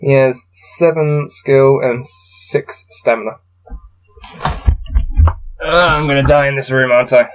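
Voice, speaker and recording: A man reading a gamebook aloud and adding low-key, wry muttered commentary as he plays. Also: steady tone, takes it slowly, long pauses, poor audio. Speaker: solo gamebook player and reader.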